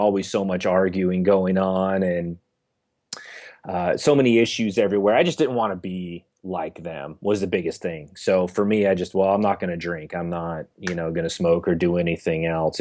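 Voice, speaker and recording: A young man from Northern California, answering interview questions in a halting way, full of fillers and restarts. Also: low-pitched, darkish tone. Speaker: interview guest. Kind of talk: casual interview conversation.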